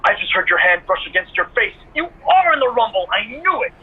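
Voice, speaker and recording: A man playing a goofy, stubbornly suspicious co-host in game cutscene banter, husky and heard over a phone line. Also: sits high, sing-song, brisk, full character voice. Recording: muffled lo-fi phone call.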